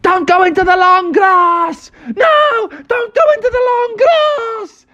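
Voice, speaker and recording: Baby opossum, woman's voice, storybook, very high, frantic, fearful, yelling, muffled. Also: expressive, unhurried. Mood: frantic alarm. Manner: yelling warnings.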